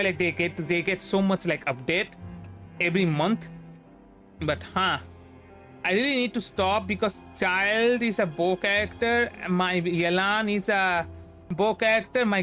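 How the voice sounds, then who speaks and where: High-voiced young Indian-accented male streamer. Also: fairly bright tone. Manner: halting, repeats words and trails off. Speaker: game streamer. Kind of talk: casual livestream chat while playing. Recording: voice over faint game music. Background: faint game music.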